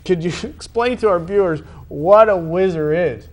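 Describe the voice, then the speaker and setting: A man hosting a sports interview, asking long conversational questions in halting, wordy phrasing with repeated words. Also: sits high, darkish tone. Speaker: interview host.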